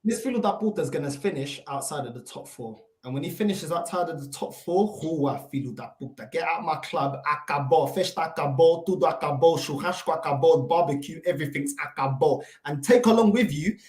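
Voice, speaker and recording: A woman's voice: sits low, fairly quick, few pauses, darkish tone, roomy sound. A woman on a remote call to a football fan panel, ranting in a fiery, mocking way and throwing in Portuguese insults. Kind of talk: heated fan rant on a panel show.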